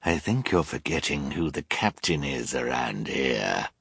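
A man voicing an arrogant, menacing male storybook villain who growls and sneers in a drawling, gravelly voice. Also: a bass voice, animated, a little slow, fairly bright tone, dry recording, studio quality, voice pushed down, heavily acted.

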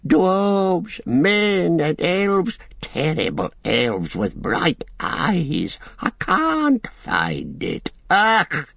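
Whiny sly little creature for a storybook, strained and whimpery, pitch leaping way up, drawn out. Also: male voice, wildly swooping pitch, drawn-out words, vocal fry, a bit bright, no echo, exaggerated voice. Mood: tormented sobbing. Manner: hissing repetitions, speaks of himself as we. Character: wretched whining creature, cunning, sly and self-pitying.

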